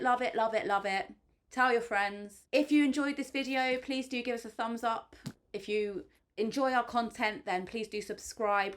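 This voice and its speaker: A woman's voice: mid-range voice, a bit bright. A woman talks through a book she loves in a gushing, chatty way. Her speech is loose and full of ums and likes as she reviews it for her channel. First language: English. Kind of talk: enthusiastic spoken book review.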